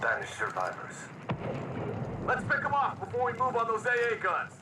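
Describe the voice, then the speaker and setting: A commanding male squad leader in a game. His voice is high and lively, heard from afar in a roomy, noisy space. He gives brisk orders. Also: heavily acted. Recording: distant, roomy, noisy battle audio.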